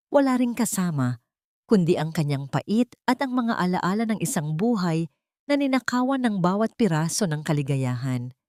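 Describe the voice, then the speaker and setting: Female narrator, lowish pitch, solemn, melodramatic, scripted storytelling, very dry close sound. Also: darkish tone, no echo. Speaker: audio drama narrator.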